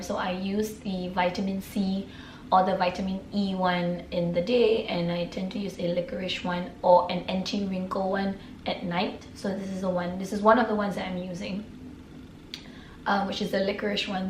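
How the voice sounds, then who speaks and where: A woman from Singapore with a hoarse, raspy voice from a sore throat, chatting casually through her nightly skincare products and often clearing her throat. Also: medium pitch, roomy sound, slight background noise. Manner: chatty, filler-heavy, keeps clearing throat. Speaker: beauty vlogger. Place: room.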